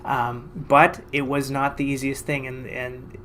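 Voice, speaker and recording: This man chats through a personal story to camera, lively and poking fun at himself. His voice sits at an ordinary pitch, and there is a faint hiss of noise behind him. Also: unhurried. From Canada. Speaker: financial advisor and vlogger.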